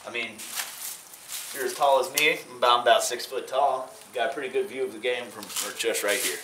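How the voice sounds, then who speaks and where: A young man leads a casual, folksy tour of an abandoned baseball stadium, talking straight to the camera. His voice sits in an ordinary middle pitch. The outdoor camera audio carries clear wind and traffic noise. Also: moderate pace. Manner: folksy, chatty tour-guide talk. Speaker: urban exploration vlogger.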